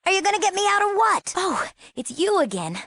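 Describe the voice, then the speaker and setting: A woman's voice: mid-range voice, animated, moderate pace, dry recording, clean studio sound, lightly acted. Actress as a sassy young American small-town woman. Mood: impatient, sarcastic. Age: young adult. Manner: casual, sardonic, girlish. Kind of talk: video game character acting.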